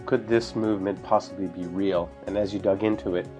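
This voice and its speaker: A man's voice: deep voice, fairly even intonation, brisk, warm tone, soft background music. A man interviewing a guest, pressing him with challenging, pointed questions.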